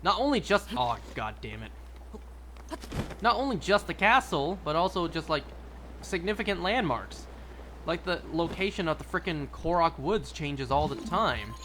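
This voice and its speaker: A man's voice: a little high, sing-song, moderate pace, sharp tone, a little hiss or hum. A young man chatting casually and rambling through gameplay commentary, with wry little asides. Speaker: streamer.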